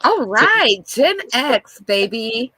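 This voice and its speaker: A bubbly woman in her fifties who talks in a high voice and takes her time with each thought. The sound is dry and close, with no room around it. She chats as a guest and laughs at herself. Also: sing-song, drawn-out words, pushed and bright, breathy edge, no room sound. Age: 50s. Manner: bubbly, chatty, self-deprecating. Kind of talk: casual chat on a live stream.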